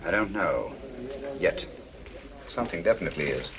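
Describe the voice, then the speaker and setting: An actor playing a shrewd, urbane middle-aged British detective in a 1940s film, speaking with crisp, dry wit and command. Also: male voice, low-pitched, big pitch swings, moderate pace, highs cut off, crowd noise. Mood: intent, hushed. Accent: British English. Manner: crisp, urbane, dryly witty. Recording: noisy 1940s film soundtrack.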